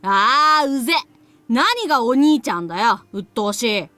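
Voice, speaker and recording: A woman voicing a brash, irritable young boy who talks roughly in a Japanese visual novel. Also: a little high, lively intonation, fairly quick, sharp tone, no echo. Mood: irritated, scornful.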